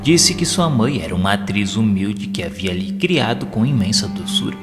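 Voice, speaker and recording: A man's voice: on the low side, expressive, moderate pace. A Brazilian man reading a literary audio novel as narrator, with formal, solemn diction. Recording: long reverb, prominent background music. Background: music.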